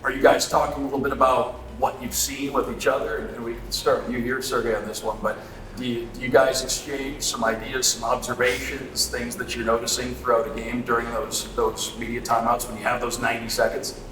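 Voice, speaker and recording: A man's voice: medium pitch, flat delivery, a little fast. A man working as a sports interviewer, asking questions in a conversational sit-down interview. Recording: echoey room with faint ambient noise.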